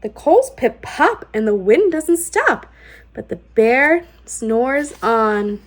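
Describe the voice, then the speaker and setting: High, lively woman reading a picture book. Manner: sing-song, deliberate rhythmic phrasing. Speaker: children's storytime host and read-aloud reader. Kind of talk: expressive picture-book read-aloud.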